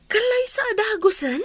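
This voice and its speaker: Actress as very high-pitched coy sweetheart. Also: female voice, fairly bright tone, no room sound, full character voice. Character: coy, high-voiced young sweetheart being courted. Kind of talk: Ilocano radio drama acting.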